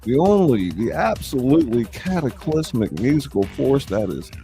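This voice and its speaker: A man's voice: medium pitch, very animated, moderate pace, vocal fry, mellow, soft background music. A man hosting a live show, announcing from a script with theatrical, emphatic showman delivery. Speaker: show host and narrator.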